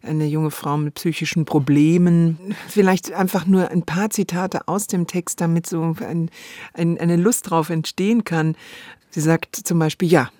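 A woman's voice: lowish voice, steady tone, measured pace, dark tone, no room sound, studio quality. A woman co-hosting a podcast, chatting unscripted and thoughtfully about radio plays, often searching for her words. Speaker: podcast co-host.

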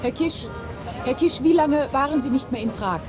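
A woman's voice: high-pitched, lively intonation, moderate pace, over music. An actress playing an eager female newspaper reporter who presses with questions in an acted radio-feature interview. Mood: eager, pressing.

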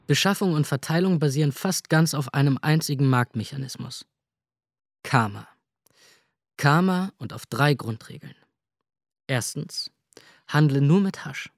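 An actor playing a cool, calculating young drug courier in a radio drama, speaking in a measured inner monologue. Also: male voice, on the high side, lively intonation, no room sound, studio quality.

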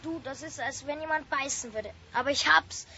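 Schoolboy for children's radio drama: high-voiced, bold, teasing, curious; dull, faintly hissy vintage recording. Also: female voice, moderate pace, pushed and bright, exaggerated voice. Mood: bold, startled. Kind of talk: children's radio play acting.

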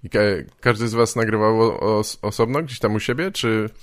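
A man hosting a casual podcast interview, asking informal questions in a halting way. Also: fairly low pitch, dry recording, clean studio sound.

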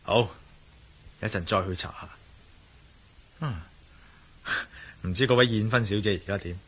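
An actor playing a troubled, suspicious adventurer-investigator in a Hong Kong Cantonese radio drama, speaking conversationally with sighs. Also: male voice, lowish voice, unhurried, fairly bright tone, breathy edge. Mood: brooding. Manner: sighing, conversational.

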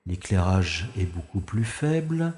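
A man's voice: low voice, big pitch swings, a little slow, a little mellow, roomy sound, big-room sound. An actor playing a composed special agent hero who narrates his own escape in the first person with dry, wry asides. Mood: calm. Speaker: lead character and narrator.

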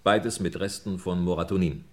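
An actor playing a brisk, dry homicide inspector in a German radio crime play, musing in clipped phrases with little questioning grunts. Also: male voice, sits low, fairly even intonation, natural voice. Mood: musing, matter-of-fact.

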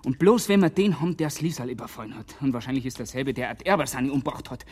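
An actor playing a shrewd, determined investigator with a Bavarian accent, explaining emphatically in colloquial dialect in a radio crime drama. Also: male voice, mid-range voice, fast talker, a little mellow, husky, lightly acted. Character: shrewd, determined male investigator piecing together a village conspiracy.

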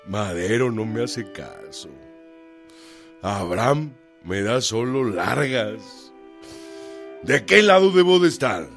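An actor playing a proud, embittered Mexican revolutionary in a radio drama, blunt and defiant. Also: male voice, fairly low pitch, very animated, slow pace, vocal fry, long pauses, fairly bright tone, soft background music, exaggerated voice. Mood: bitter, agitated.

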